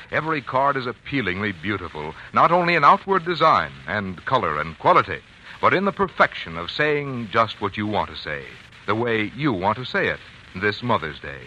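A man's voice: medium pitch, sharp tone. A man working as a radio announcer, reading a polished, formal 1940s sponsor message. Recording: dull, faintly noisy 1940s radio broadcast.

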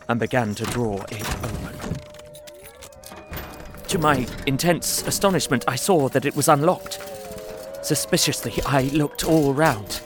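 A man's voice: medium pitch, close to an everyday voice. A young man playing a frightened Englishman who narrates his ordeal in an earnest, tense way for an audio drama. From England. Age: young adult. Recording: music score under the voice.

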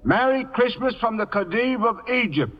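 An actor playing a brash, zany wisecracking pal in a flippant, clownish 1950s radio comedy style. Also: male voice, very high voice, animated, normal speed. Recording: dull vintage 1950 radio recording, long reverb.

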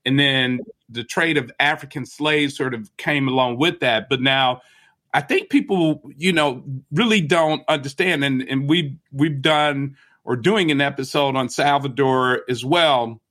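A man's voice: medium pitch, measured pace, fairly bright tone. Man hosting a podcast interview. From United States. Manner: thoughtful, asks open questions. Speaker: podcast host. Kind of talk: conversational interview.

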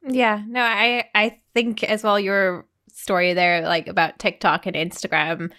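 A woman's voice: medium pitch, level tone, a little slow, sharp tone. Podcast host, woman: enthusiastic, relatable interviewer, casual delivery. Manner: enthusiastic, relatable, asks open questions.

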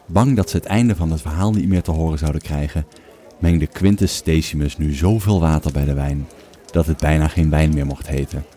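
A man gives a composed storyteller's narration for an audio drama set in ancient Rome. The voice is extremely deep and dark in tone, delivering scripted lines in a measured, storybook way. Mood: measured narrating. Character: composed third-person storyteller of a Roman tale.

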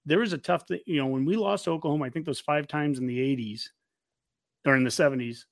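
A man's voice: a little high, fast, no room sound. Podcast host, American man in his forties, enthusiastic and rambling sports talk, very dry recording. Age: 40s. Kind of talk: casual sports chat.

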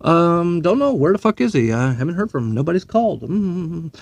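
A man ranting in a sarcastic, profane monologue, his voice a touch high and swinging widely up and down as he mocks and scolds. He speaks as a military veteran airing his opinions, and the sound is dry and close with no room around it. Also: very animated, brisk, vocal fry, no room sound.

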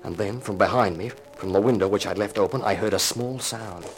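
An actor playing a tough, wry private detective who narrates in a laconic 1950s radio crime drama style. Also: male voice, lowish voice, a little fast, faint music behind, close to an everyday voice. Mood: tense, brooding. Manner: hard-boiled, sardonic, laconic. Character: tough, wry male private detective, cool under threat. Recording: noisy vintage radio recording.

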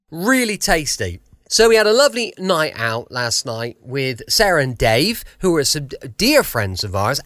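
A man's voice: fairly high pitch, a bit bright, dry recording, studio quality. A British man hosting a podcast in a casual, chatty, rambling and colloquial way. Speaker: podcast host. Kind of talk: casual chatty show introduction.

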